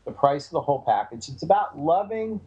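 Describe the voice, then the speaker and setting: Booming, animated man giving dating advice. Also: a little fast, slightly rough, highs cut off. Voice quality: big booming voice. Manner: animated, emphatic, repeats phrases. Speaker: dating coach and author. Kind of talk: advice talk on a call. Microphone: call audio.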